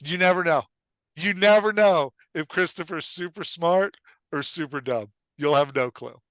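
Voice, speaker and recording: A man hosting and coaching in an animated, conversational way, emphatic and often checking that he is being understood. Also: a little high, normal speed, dry recording. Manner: acts out short example lines of dialogue. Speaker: podcast host and writing coach.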